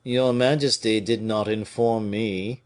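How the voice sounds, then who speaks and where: A man's voice: lowish voice, fairly even intonation, takes it slowly. A man voicing a deferential, aggrieved royal clerk with a faltering, wheedling manner in an audiobook. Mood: cautious, deferential. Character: obsequious, aggrieved male royal clerk, cautious schemer. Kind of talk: dramatic audiobook character reading.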